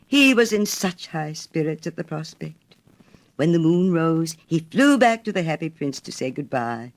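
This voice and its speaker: A woman narrating a storybook fairy tale in an expressive reading style. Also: low-pitched, moderate pace.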